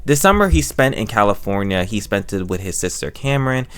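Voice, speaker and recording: A young American man with a low voice recaps a story off the cuff. He rambles as he reviews it, circling back and repeating phrases in a casual way. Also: lively intonation, fairly quick, a bit bright, smooth. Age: young adult.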